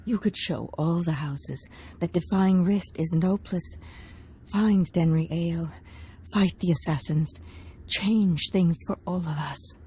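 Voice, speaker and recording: Gentle noble lady, low mellow voice, coaxing, game cutscene. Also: unhurried, husky. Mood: earnest, pleading. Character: earnest, seductive noblewoman, baron's discontented wife. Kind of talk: scripted video game acting.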